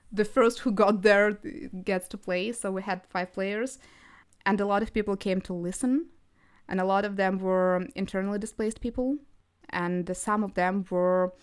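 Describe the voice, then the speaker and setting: Smooth-voiced woman guest. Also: mid-range voice. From Crimea, Ukraine. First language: Russian. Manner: animated storytelling, frequent hesitations. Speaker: podcast guest. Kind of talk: casual interview chat.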